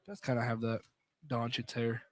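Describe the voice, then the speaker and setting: A man's voice: mid-range voice, level tone, moderate pace. A man giving live basketball play-by-play as a sports announcer. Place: college field house during a game. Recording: clearly noisy live gym broadcast.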